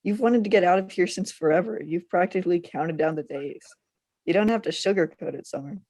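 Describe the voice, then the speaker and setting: A woman's voice: on the low side, a little fast, a little mellow, natural voice. A woman playing a teasing, pressing teenage friend in a script table read. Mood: insistent. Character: teasing, needling teenage friend of a girl leaving town.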